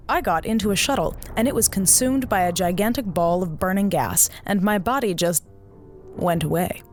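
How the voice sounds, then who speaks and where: Audio drama, hard-edged female officer: exasperated, confrontational, wounded, on the low side, clear music underneath. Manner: sarcastic, confrontational, emphatic.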